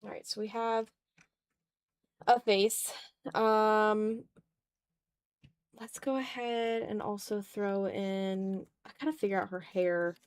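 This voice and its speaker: A tired woman with a mid-pitched voice hosts a casual drawing stream. She talks in a self-deprecating way, drifting into mutters to herself. The sound is close and dry, with very little room in it. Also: fairly even intonation, takes it slowly, long pauses, smooth, no room sound. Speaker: art livestreamer and host. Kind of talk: casual livestream chat while drawing.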